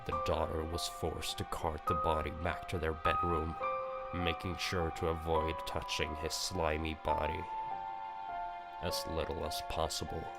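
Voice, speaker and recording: Man, very deep voice, unhurried pace, dramatic visual novel narration, clear background music. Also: completely flat delivery. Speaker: video host reading a visual novel aloud.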